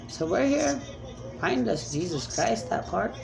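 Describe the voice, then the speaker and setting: A woman's voice: medium pitch, very animated, measured pace, vocal fry. A woman speaking as a self-described spirit medium in a rambling, emphatic monologue, pleading and repeating commands.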